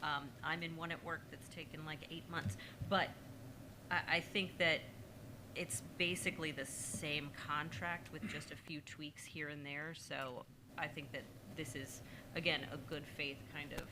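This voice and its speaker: A woman with a very low voice speaking as a council member, measured and conciliatory, using a few fillers. She is in a city council chamber. Clear background noise runs under the recording. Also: steady tone. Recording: noisy meeting room.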